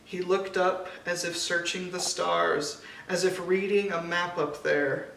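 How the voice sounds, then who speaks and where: A man with a fairly high, creaky voice reads a children's story at an unhurried pace. He pauses deliberately between phrases. The sound is roomy and a little distant from the mic. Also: little pitch movement, pushed and bright. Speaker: storybook reader. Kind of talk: scripted children's picture-book narration read aloud. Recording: echoey room, distant mic, faint noise.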